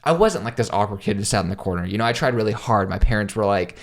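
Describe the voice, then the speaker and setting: A man's voice: on the low side, expressive, quick pace. Young man telling candid stories. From South Carolina, USA. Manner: candid, storytelling, self-deprecating jokes. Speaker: podcast guest, pro skateboarder. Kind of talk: casual conversation.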